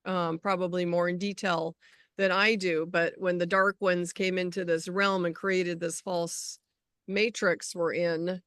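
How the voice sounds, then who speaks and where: A middle-aged woman co-hosting a casual spiritual chat as an energy healer, talking in a rambling, halting way as she searches for words. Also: fairly low pitch, little pitch movement, fairly bright tone, clear, resonant tone, no echo, studio quality.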